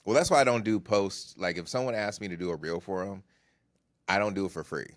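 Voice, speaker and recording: Deep-voiced male co-host, laid-back and slangy, very dry close sound. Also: fairly quick, no echo. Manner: relaxed slangy talk, gets animated. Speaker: podcast co-host, content creator. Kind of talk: casual podcast chat.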